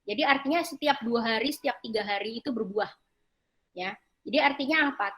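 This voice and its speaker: Bright-voiced woman pastor preaching. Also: on the high side, a little fast. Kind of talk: sermon with slides and scripture reading.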